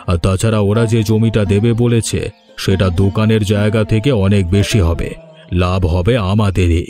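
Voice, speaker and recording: Man acting low, stern village landlord. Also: fairly even intonation, unhurried, dark tone, soft background music, natural voice. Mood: reasoning. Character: stern, domineering village landlord, male, proud but remorseful. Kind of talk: Bengali cartoon drama dialogue.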